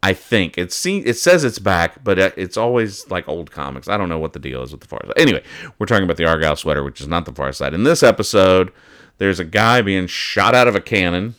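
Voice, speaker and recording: Male host, low smooth voice, casual comedic commentary, halting and mock-earnest. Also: lively intonation, fairly bright tone. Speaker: host of a comics-reading show. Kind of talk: casual humorous commentary on newspaper comics.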